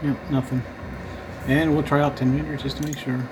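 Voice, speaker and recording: A man's voice: mid-range voice, flat delivery, a little fast. A man giving casual running commentary as he operates an amateur radio, talking in short, clipped remarks. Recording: loud radio static and hiss. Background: noisy radio static.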